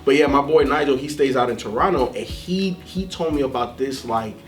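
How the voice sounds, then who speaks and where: A man's voice: mid-range voice, a little fast, over a noisy crowd. An American man from the South chatting casually on a music review panel, emphatic and repetitive, with slangy, sweary speech. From southern United States, Florida.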